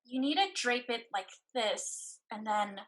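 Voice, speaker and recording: A woman's voice: on the high side, a little slow, a bit bright. A woman acting in a stage play reading as a devoted, cautious sister who blames herself. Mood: teasing, affectionate. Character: anxious, devoted, limping sister, cautious and self-blaming.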